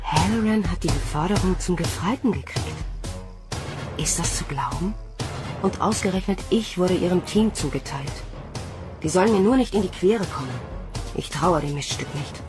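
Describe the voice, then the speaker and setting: A woman's voice: on the low side, lively intonation, normal speed, natural voice. Woman acting defiant young space recruit, music underneath. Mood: wary, reflective. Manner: coarse, blunt, emotional. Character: young female space recruit, defiant, hot-tempered, easily panicked. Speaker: voice actor, lead and narrator. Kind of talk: German audio drama acting.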